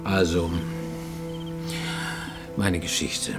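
An actor in a German radio play voicing a haunted middle-aged Japanese fugitive, formal and intense, sometimes dropping to a near whisper. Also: male voice, low-pitched, drawn-out words, loud music behind the voice. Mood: matter-of-fact.

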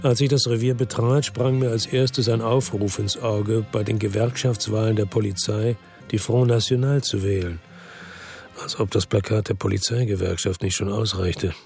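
A man's voice: on the low side, fairly even intonation, normal speed, creaky, over music. An actor playing a world-weary, tough detective, narrating his own story in a terse, matter-of-fact way for a radio drama. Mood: reflective.

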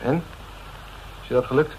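An actor playing a streetwise, defensive suspect in a Dutch radio drama, talking in a colloquial, sardonic way that turns hesitant when cornered. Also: male voice, normal speed, highs cut off, close to an everyday voice. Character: cynical, evasive male suspect, streetwise and defensive. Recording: noisy old radio drama recording.

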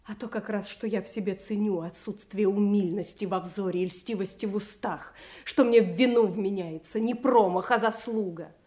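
A woman's voice: medium pitch, moderate pace, few pauses, mellow, lightly acted. A woman playing a sincere, wronged young princess with restrained pride in a classical radio drama. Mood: pleading, dignified.

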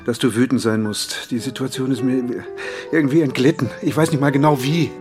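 A man's voice: medium pitch, fairly even intonation, brisk, natural voice. A man playing a guilty, evasive professor. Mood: apologetic. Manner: hesitant, apologetic. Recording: music bed behind voice.

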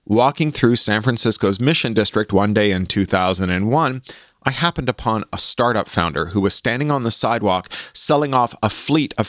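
A man's voice: mid-range voice, a bit bright, no room sound, studio quality. A man hosting a solo podcast, speaking articulately and wryly as he shares updates and reads his own writing aloud. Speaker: podcast host and author.

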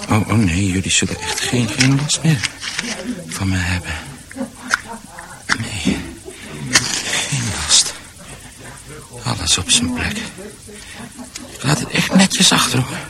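Deranged, confused man in radio drama, slow and repetitive, volatile pitch, busy noise behind. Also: gravelly, heavily acted, low-quality recording. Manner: muttering, repetitive, halting. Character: deranged, confused man returning to his ransacked house. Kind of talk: Dutch radio drama acting.

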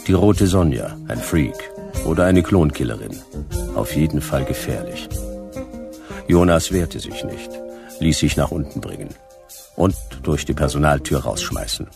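A man playing a laconic hard-boiled private detective in a radio drama, narrating in a dry, clipped, deadpan way with a gravelly voice. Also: extremely low pitch, measured pace, music in the background, heavily acted.

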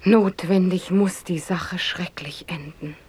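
An actress playing a sentimental commentator, declaiming dramatically in a theatrical verse radio play. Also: female voice, fairly low pitch, slow pace, a little mellow, slightly rough, exaggerated voice. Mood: foreboding.

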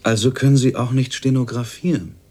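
An actor playing a brusque, condescending company boss in a radio drama, speaking pointedly and belittlingly. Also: male voice, medium pitch, fairly even intonation, a little fast, a little mellow, breathy edge, close to an everyday voice. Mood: critical, cold.

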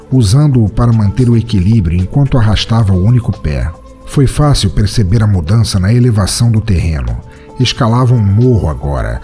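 Deep, dark-voiced male narrator. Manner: dramatic, suspenseful storytelling. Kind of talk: scripted audiobook narration. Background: soft background music.

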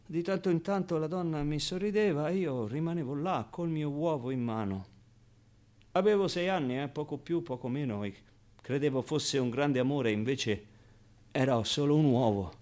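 Male actor as cheeky motherless orphan, fairly high voice, radio theatre monologue, colloquial, halting repetitions, outbursts and hushed confiding. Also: close to an everyday voice. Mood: wistful, subdued. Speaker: stage actor performing a monologue.

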